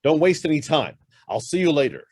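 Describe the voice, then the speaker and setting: A man playing a brusque, suspicious businessman, lively pitch. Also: fairly quick, slight background noise. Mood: brisk, commanding. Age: middle-aged. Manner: curt, demanding, interrogating. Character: brusque, suspicious middle-aged businessman with a secret. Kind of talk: stage-style radio drama acting.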